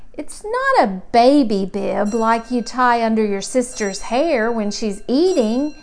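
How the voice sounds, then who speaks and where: A curious small boy from a children's storybook, as a woman reads him, speaks in a high voice. He takes things literally and puzzles over them slowly, with childlike deliberation. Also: exaggerated voice. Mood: naive wondering. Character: curious, literal-minded small boy narrating about his great-uncle.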